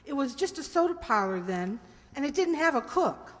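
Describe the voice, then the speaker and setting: A woman's voice: high voice, sing-song, moderate pace. A woman acting a friendly, breezy married woman in a radio play. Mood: breezy, cheerful. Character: bright, friendly married woman, college alumna. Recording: reverberant hall.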